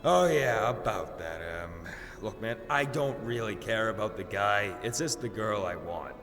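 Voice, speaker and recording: An actor playing a cocky, crude young warrior in a fantasy audio drama, talking in a flippant, boastful, casual way. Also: male voice, fairly low pitch, animated, unhurried, a bit bright. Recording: long reverb, music underneath.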